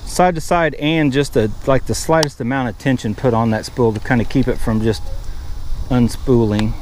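A man's voice: mid-range voice, normal speed, darkish tone. Middle-aged man, folksy, with outdoor boat noise. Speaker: fishing vlog host. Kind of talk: casual running commentary while fishing. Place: outdoors on a small fishing boat on open water. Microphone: action camera worn on chest or held at arm's length.

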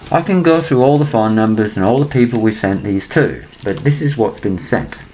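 An older man from England, speaking into a laptop webcam in a small room, rambling and clearing his throat between points. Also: medium pitch, lively intonation, measured pace, mellow, a little hiss or hum, rough recording. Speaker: vlogger. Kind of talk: rambling conspiracy monologue to webcam.

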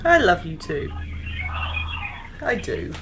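A woman's voice: fairly low pitch, wildly swooping pitch, slow, creaky. A woman, chatting casually on a speakerphone call in a living room. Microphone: handheld camera nearby. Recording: faint noise, handheld camera indoors.

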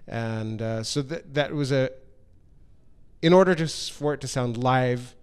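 Clear-voiced middle-aged man presenting a product. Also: medium pitch. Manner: halting, pausing mid-phrase. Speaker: company presenter explaining a product design. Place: large hard-walled workshop room, indoors. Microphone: handheld microphone held close.

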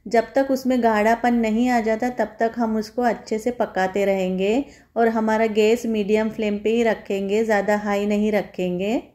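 A woman's voice: medium pitch, flat delivery, moderate pace, hardly any pauses, mellow. A woman home cook giving a step-by-step recipe voice-over, instructing viewers in a friendly, familiar form of address.

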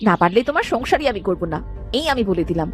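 A woman voicing a demanding, sharp-tongued young village wife in a cartoon folktale, nagging and sarcastic. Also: medium pitch, big pitch swings, rapid-fire, faint music behind, voice pushed down, natural voice. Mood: scornful, angry. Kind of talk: fairy-tale cartoon acting.

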